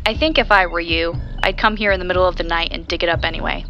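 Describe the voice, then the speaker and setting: An actress playing a curious, skeptical but sympathetic interviewer in conversational audio drama dialogue. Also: female voice, fairly low pitch, steady tone, fast, pushed and bright, highs cut off, soft background music. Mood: intrigued, probing. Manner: inquisitive, conversational.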